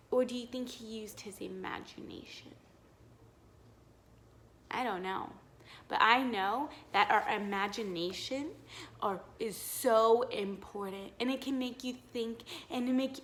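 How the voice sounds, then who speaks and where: A woman with a fairly high, bright voice reads to young children as their teacher. She takes her time, speaking expressively and encouragingly, and puts questions to her listeners. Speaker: teacher reading to young pupils. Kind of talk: storybook read-aloud with questions to children.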